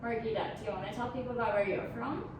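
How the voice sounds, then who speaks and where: A woman's voice: on the high side, rapid-fire. A young woman from Pakistan with a Pakistani accent, co-hosting and explaining a science lesson in an earnest way, with frequent ums. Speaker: co-host, chemistry graduate student. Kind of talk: casual educational chat. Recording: echoey room, mic far off, noisy.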